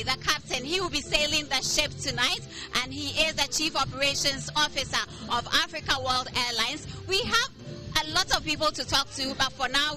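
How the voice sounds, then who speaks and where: A woman reporting live from a festive event, chatty and lively as she interviews guests. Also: sits high. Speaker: field reporter. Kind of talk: live on-location news report. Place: festive party venue. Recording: music playing behind at an event.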